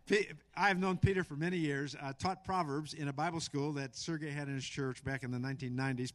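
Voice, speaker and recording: A middle-aged man, a pastor, introducing guests and leading a spoken prayer in church, pausing often with fillers. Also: mid-range voice. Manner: halting, with frequent filler pauses. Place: church sanctuary.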